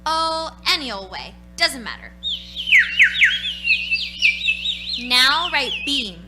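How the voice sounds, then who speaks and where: An actress playing a loud, bossy, excitable little girl in a radio play, emphatic and impatient. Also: high voice, unhurried, loud music behind the voice. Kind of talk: live radio play acting.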